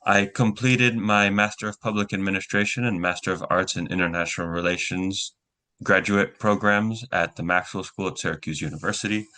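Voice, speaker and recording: Deep, flat-voiced man methodically listing. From United States. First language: Ukrainian. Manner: methodical, lists things item by item. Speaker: guest journalist. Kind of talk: interview answers.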